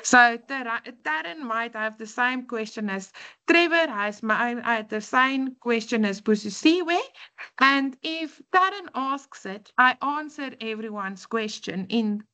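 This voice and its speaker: A woman's voice: on the high side, expressive, measured pace, bright, forceful tone. A woman lecturer with an Afrikaans accent, a native Afrikaans speaker, giving an earnest orientation class with frequent "um"s. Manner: earnest, explanatory, frequent fillers. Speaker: university lecturer.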